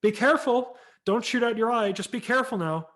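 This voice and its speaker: A man's voice: extremely high pitch, fairly quick, a bit bright, pitched above the performer's own voice. A man voicing a fussing 1930s mother who warns and soothes her young son in a storybook reading. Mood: anxious warning. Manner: anxious, coaxing.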